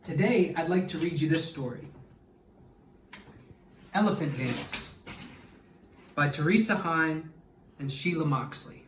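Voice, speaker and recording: Slow, echoey male children's storytime reader. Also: on the high side, a little hiss or hum. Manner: expressive, deliberate, draws out words. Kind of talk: read-aloud storytime for children. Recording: echoey room, distant mic.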